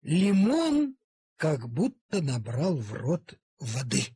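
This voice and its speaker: A man reading a literary animal story aloud in a theatrical, deliberate manner with dramatic pauses. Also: fairly high pitch, huge pitch swings, mellow, slightly rough, no echo. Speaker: storyteller, audiobook reader. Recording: cassette transfer of audio play.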